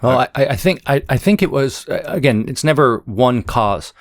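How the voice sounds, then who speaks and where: A man's voice: mid-range voice, lively intonation, normal speed, no echo, clean studio sound. A man speaking analytically as an expert guest in an interview. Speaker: guest author, foreign policy scholar.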